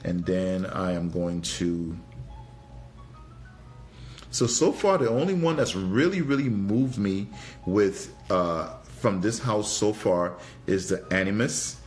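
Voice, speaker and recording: A man's voice: low-pitched, expressive, slow pace, darkish tone, soft background music. A man from Trinidad giving a casual live product review, thinking aloud in a hesitant, musing way and often repeating his phrases. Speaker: fragrance reviewer and livestream host.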